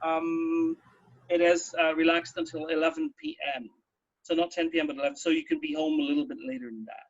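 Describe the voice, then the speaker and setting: A man's voice: on the high side, very animated, brisk, creaky. A man co-hosting a radio show from home in a casual, chatty, explanatory way, often checking in with a "right" or "yeah". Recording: dull, lo-fi home recording.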